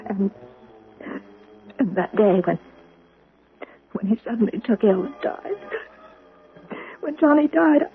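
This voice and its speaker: An actress playing a naive, warm-hearted young artists' model in an earnest, emotive 1970s radio drama style. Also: female voice, fairly high pitch, lively intonation, slow pace, long pauses. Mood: grieving, wistful. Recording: muffled, husky-sounding old radio recording.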